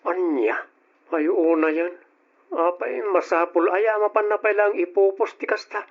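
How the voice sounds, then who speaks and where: Meek, put-upon young Ilocano nephew, slightly high voice, muffled radio drama. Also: male voice, measured pace. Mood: puzzled.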